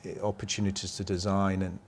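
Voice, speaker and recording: A man's voice: sits low, flat delivery, fairly quick, slight background noise. A man in his fifties with an English accent, speaking conversationally as a designer being interviewed, rambling and often interrupting himself. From England. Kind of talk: conversational interview, personal anecdotes.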